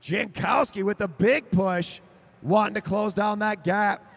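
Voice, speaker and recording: A man calling a track cycling race live, rising to shouts as the sprint builds. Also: high voice, measured pace, gravelly. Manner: excited, shouting names over the finish. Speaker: race announcer. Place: velodrome over a PA.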